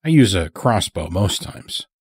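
A man's voice: sits low, sing-song, measured pace, slightly rough, no room sound, studio quality, heavily acted. A man voicing a terse, guarded hunting lodge master in an audio story, curt and restrained. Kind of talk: dramatic audio story character.

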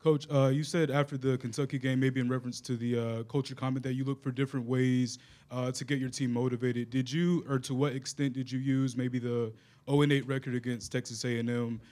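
Male reporter, middle pitch, very even delivery, press conference questioning. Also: brisk.

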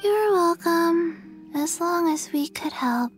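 A woman voicing a small, listless zombie girl in a game, halting and drowsy in a childlike deadpan. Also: extremely high pitch, faint music behind, heavily acted. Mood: earnest, subdued.

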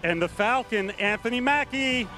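A man on a large convention stage with a handheld microphone, introducing actors one by one and shouting their names over the crowd. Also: extremely high pitch, normal speed, loud music behind the voice. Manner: announces names with rising, shouted emphasis. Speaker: studio head presenting a convention panel.

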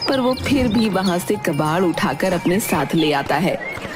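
A woman's voice: on the low side, lively intonation, unhurried. Woman narrating a story over music. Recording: music behind the voice. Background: background music.

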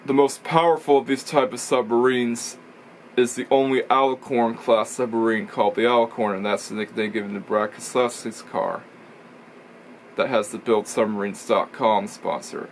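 A man's voice: mid-range voice, steady tone, normal speed, a little hiss or hum. Rambling young man vlogging at home. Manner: rambling, self-correcting, explanatory. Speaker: racing video reviewer. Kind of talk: casual vlog commentary. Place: small indoor room full of toy shelves. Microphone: camera or webcam in front of him.

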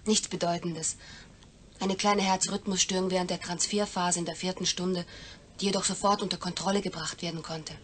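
Eine Schauspielerin spielt in einem deutschen Hörspiel eine höfliche, gefasste Krankenschwester mit förmlicher Art. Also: female voice, on the low side, level tone, normal speed, fairly bright tone, slight background noise, close to an everyday voice. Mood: composed. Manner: formal, composed. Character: polite, composed female hospital nurse. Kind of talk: German radio play acting.

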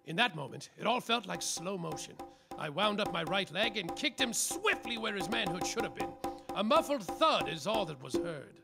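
A man's voice: high voice, very animated, normal speed, creaky, loud music behind the voice, heavily acted. A man playing a world-weary, boastful private detective in a slapstick radio mystery. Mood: narrating, dry. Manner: boastful, hard-boiled. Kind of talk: parody radio-mystery acting and narration.

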